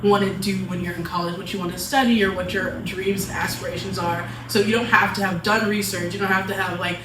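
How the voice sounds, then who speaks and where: A woman's voice: mid-range voice, steady tone, quick pace. A young woman from the United States, a college student speaking as a panel guest in casual chat, leaning on "like" as she talks. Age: young adult. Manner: chatty, fills with "like" often. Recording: roomy, from a distance, faint noise.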